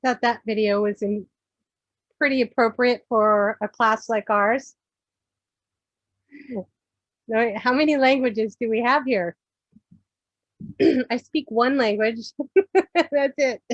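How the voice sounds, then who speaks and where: A middle-aged woman instructor with a fairly high voice, speaking slowly and easily as she puts questions to her class. The recording is dull and dry, with little room sound. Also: no room sound. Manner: relaxed, questioning, laughs often. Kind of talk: classroom discussion.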